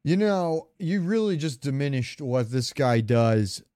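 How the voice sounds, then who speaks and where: A man's voice: mid-range voice, expressive, a little slow, dark tone, clean tone, no room sound, clean studio sound. A man co-hosting a podcast in casual comedic chat, rambling through jokey, sweary stories. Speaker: podcast co-host.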